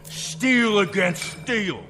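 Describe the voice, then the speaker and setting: Defiant, high-voiced factory worker. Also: male voice, animated, very slow, very noisy, exaggerated voice. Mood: bitter, angry. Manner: emphatic, blunt, repeats himself. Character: embittered, defiant male factory worker, hot-tempered. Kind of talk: audio drama acting.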